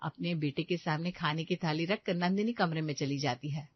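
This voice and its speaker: A woman's voice: low-pitched, moderate pace, dry recording, lo-fi sound. A woman reading scripted narration for a story.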